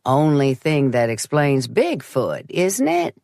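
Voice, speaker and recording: A woman voicing a gentle, stubborn older uncle with a slow, folksy, mild delivery in an audiobook. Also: deep voice, wildly swooping pitch, gravelly, no room sound, clean studio sound, exaggerated voice. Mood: pleased, earnest. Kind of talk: audiobook character voice.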